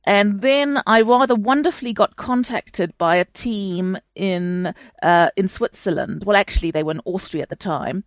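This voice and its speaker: A chatty woman from Chile talks through her answers as a guest on a call, stopping and restarting often along the way. The sound is close and dry, with no room around her. Her pitch sits in the middle for a woman. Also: normal speed, few pauses, no echo. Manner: chatty, many fillers and restarts. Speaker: guest historian. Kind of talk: conversational interview answers. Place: home in London.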